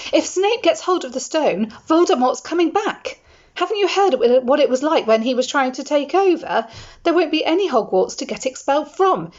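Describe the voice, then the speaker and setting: An earnest schoolboy wizard presses his point with urgent, driven speech, as a woman reads him aloud. The voice sits high and boyish. The recording is dull and lo-fi. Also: a little mellow.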